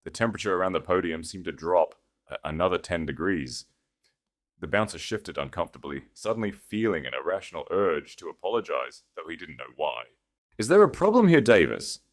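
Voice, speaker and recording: A low-voiced man narrates a dramatic romance story. His delivery is scripted audiobook storytelling. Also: brisk.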